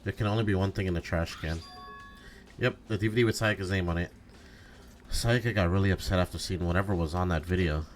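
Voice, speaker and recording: A man's voice: sits low, steady tone, quick pace, pitched below the performer's own voice, close to an everyday voice. A man voicing an earnest, anxious teenage schoolboy in amateur visual novel dialogue, hesitant and prone to stammering. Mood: puzzled, pensive. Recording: music behind.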